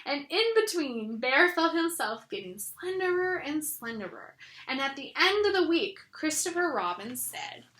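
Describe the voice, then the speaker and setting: A woman reading a children's story aloud in an expressive way, with chatty asides to her listeners. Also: high-pitched, unhurried. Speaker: read-aloud host. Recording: roomy echo.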